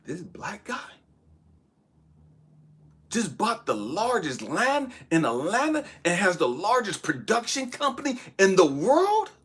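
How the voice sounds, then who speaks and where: A man giving a blunt, profane motivational talk, shouting one moment and dropping to hushed asides the next. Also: high voice, wildly swooping pitch, takes it slowly, husky. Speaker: motivational speaker. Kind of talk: impassioned motivational monologue.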